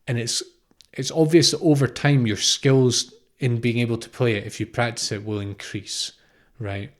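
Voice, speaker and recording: A man's voice: mid-range voice, steady tone, moderate pace. A man in his thirties from Scotland gives an unscripted, informal spiritual talk, explaining reflectively and often repeating phrases or posing questions. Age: 30s. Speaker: spiritual teacher, podcast host.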